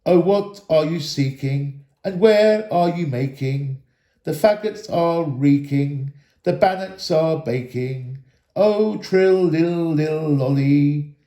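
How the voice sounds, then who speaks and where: A man voicing merry, teasing woodland elves in a playful, drawn-out storybook sing-song. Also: a little high, warm tone. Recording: echoey room.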